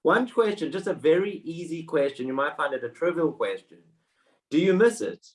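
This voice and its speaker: A man's voice: a little high, animated, moderate pace. A man hosting an interview on a video call, chatty and encouraging, making jokes as he asks questions. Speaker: host, interviewer.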